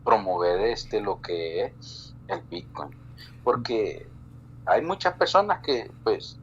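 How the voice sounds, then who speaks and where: A man from El Salvador speaks in a very low, deep voice, stumbling through restarts and fillers as he chats casually on a live stream. The sound is a little dull and lo-fi, with a faint hum underneath. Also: very animated. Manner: halting, many restarts and fillers. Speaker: guest.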